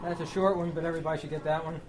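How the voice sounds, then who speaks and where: A man's voice: on the high side, little pitch movement, rapid-fire, low-quality recording. A man casually calling out quiz answers live at a restaurant event, with audio playing behind. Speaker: quiz announcer. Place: restaurant luncheon gathering. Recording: restaurant event, music in background.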